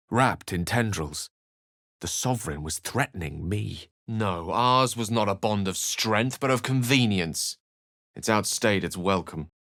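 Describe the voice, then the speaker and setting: A man's voice: fairly low pitch, fairly bright tone, no echo, studio quality. A man voicing an eloquent, bookish, theatrical wizard in a video game, speaking with refined and wry wording. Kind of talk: video game character dialogue and narration.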